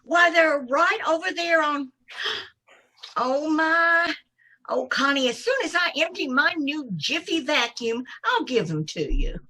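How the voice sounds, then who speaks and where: High, fluttery landlady, played by a woman. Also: lively intonation, slow, bright, forceful tone, full character voice. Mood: flustered. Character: sweet, scatterbrained motherly landlady. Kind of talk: old-time radio comedy acting.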